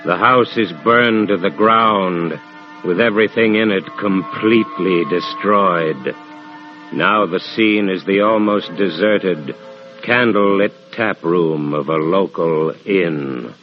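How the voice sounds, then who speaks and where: A grave storyteller narrates radio horror in a low, measured voice. He speaks very slowly over faint music, on a muffled vintage recording. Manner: measured, solemn. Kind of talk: scripted old-time radio narration. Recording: dull old radio recording.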